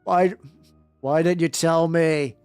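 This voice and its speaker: A woman's voice: deep voice, fairly even intonation, slow, a little mellow, smooth, no echo. A woman voicing an anguished, accusing teenage wizard boy in a fan fiction story. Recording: dry close sound with music behind.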